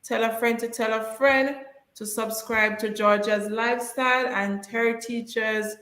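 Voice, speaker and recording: Woman live stream co-host, slightly high voice, chatty and encouraging, echoey room. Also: steady tone, a little slow, fairly bright tone. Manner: reads out viewer comments and urges viewers to subscribe.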